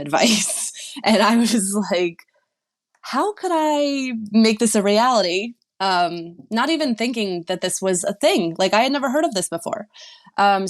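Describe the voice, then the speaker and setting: A woman sex and relationship coach, a podcast guest, talking frankly and laughing easily. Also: medium pitch.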